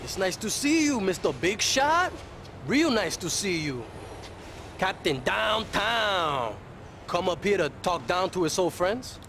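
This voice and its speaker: An actor playing a bitter, envious young street dealer in a video game cutscene, sarcastic and profane with streetwise slang. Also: male voice, high voice, sing-song, slow, a bit bright, slight background noise, exaggerated voice.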